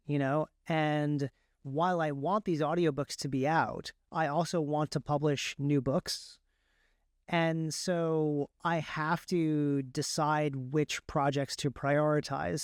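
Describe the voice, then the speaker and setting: A man speaking as an interview guest, explaining his work in a casual, halting, conversational way. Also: on the high side, clean tone, dry recording, clean studio sound. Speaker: guest, author and audiobook narrator.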